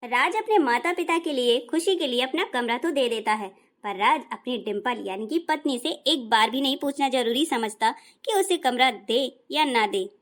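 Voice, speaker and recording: Woman narrator, scripted storytelling, explanatory, slightly high voice. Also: animated, normal speed, few pauses.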